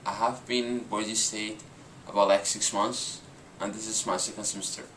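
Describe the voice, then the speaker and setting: Young man, casual, lowish voice, echoey room, distant mic. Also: steady tone, moderate pace, fairly bright tone, a little hiss or hum. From Saudi Arabia. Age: young adult. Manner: casual, uses filler words. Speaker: international student. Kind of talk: interview answer.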